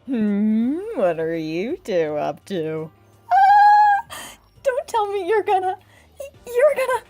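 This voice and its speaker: A woman voicing a gushing, lovestruck teenage girl with a dark side, in a theatrical fan-dub delivery. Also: high-pitched, very animated, takes it slowly, no echo, faint music behind, exaggerated voice. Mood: teasing. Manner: theatrical, swooping and teasing. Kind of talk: fan dub of an anime game.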